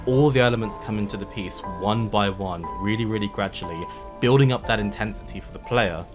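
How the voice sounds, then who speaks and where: A man narrating a video essay in an explanatory, measured way with emphatic phrasing and casual asides. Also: lowish voice. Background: background music.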